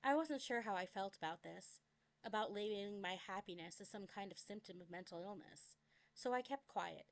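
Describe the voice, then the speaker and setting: A young adult woman reads her own memoir aloud as a scripted dramatic narration, with a deliberate, expressive delivery. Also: medium pitch. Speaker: memoir author reading own work.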